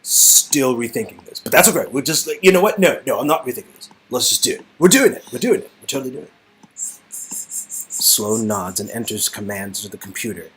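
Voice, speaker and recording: A man's voice: mid-range voice, sing-song, a little fast, fairly bright tone, slight background noise, rough recording. A man casually performing game dialogue in a hesitant, rambling, comic way, often repeating words. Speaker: performer reading game script.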